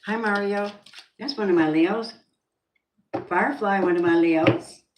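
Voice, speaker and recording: An older woman doing a live tarot reading, chatty and rambling, warmly calling her viewers babies. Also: medium pitch, measured pace. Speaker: tarot reader. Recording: noisy home stream with clicks.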